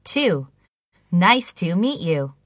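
A woman's voice: on the low side, big pitch swings, extremely slow, background noise. A woman reading scripted English listening exercises, enunciating carefully like a language-lesson recording. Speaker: English listening test reader.

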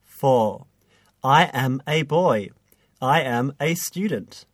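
A man's voice: mid-range voice, expressive, slow, no room sound, studio quality. A man reading scripted English listening exercises with careful enunciation, as on a language-lesson recording. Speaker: English listening test reader.

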